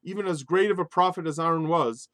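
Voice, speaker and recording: A man giving a spoken Torah lesson in an animated, emphatic way, mixing Hebrew religious terms into English. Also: a little high, fast talker, no echo, clean studio sound. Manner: animated, emphatic, rises sharply on key points. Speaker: Torah teacher.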